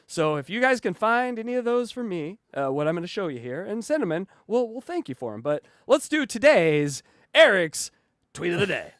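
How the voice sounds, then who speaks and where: A man hosting a comedy podcast, chatting casually in a halting way with many fillers and frequent giggles. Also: high voice, very animated, a bit bright, dry recording. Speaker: podcast host.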